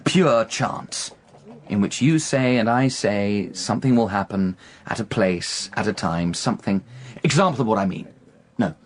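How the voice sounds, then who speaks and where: An actor playing a reckless, indebted young gentleman gambler in a radio drama, agitated, insistent and repeating himself as he presses. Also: lowish voice, unhurried. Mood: feverish, insistent.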